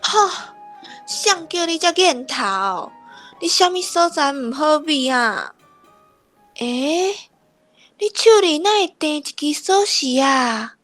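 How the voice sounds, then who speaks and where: A woman's voice: sits high, lively intonation, slow, fairly bright tone, soft background music, full character voice. A woman playing a bossy, playful young girl, the older sister in a children's radio drama, teasing and childlike, with a Taiwanese accent. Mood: scolding, teasing.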